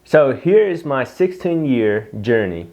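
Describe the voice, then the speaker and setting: A man's voice: medium pitch, sing-song, a little mellow. A young man from Vietnam with a mixed Vietnamese, Canadian and Southern American accent, earnestly telling his own story as a vlogger. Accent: Vietnamese with Canadian and Southern US English. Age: young adult. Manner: earnest, reflective, with emphatic slow stresses. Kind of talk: personal storytelling to camera.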